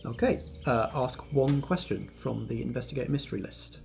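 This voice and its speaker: A man narrating a tabletop roleplaying game as game master, in a measured, descriptive storytelling manner. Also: medium pitch, creaky, a little mellow. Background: faint background music.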